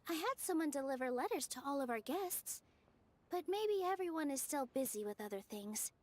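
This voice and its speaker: A woman voicing a sweet, gracious young dancer in a video game, gentle and earnest. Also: high voice, lively intonation, moderate pace, a little hiss or hum.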